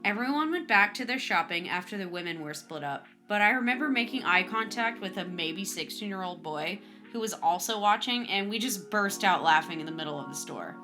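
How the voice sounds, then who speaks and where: Female story host, mid-pitched, scripted narration and commentary with a clear music bed. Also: animated, fairly quick, hardly any pauses, pushed and bright. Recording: music under the voice.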